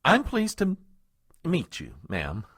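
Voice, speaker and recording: Guy doing a exasperated aide, very animated pitch, dry close sound, hesitant and polite, comedy radio play. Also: takes it slowly, husky, no echo, heavily acted. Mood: strained politeness. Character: deferential, exasperated, nervous male presidential aide. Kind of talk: comic audio drama acting.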